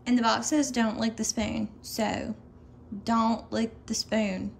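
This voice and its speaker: A woman in her thirties with a slightly high voice talks brightly to her viewers while baking. She is recorded by a camera set across her home kitchen, and a faint hum sits under her voice. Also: flat delivery, slow, creaky. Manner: chatty, upbeat, talks straight to viewers. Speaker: baking vlogger. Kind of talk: casual baking tutorial.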